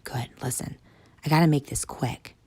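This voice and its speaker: A woman's voice: husky, no room sound, heavily acted. Woman doing Tank, a whispering giant guard. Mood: hushed urgent. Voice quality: deep, throaty, mostly whispered. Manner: hushed and hurried. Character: huge bald male guard, secretive and urgent. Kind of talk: audiobook character voice.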